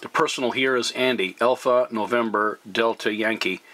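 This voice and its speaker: Bright-voiced man on radio. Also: medium pitch, poor audio. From Canada. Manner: courteous, deliberate, spells words phonetically. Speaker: amateur CB radio operator. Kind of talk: radio contact chat with procedure words.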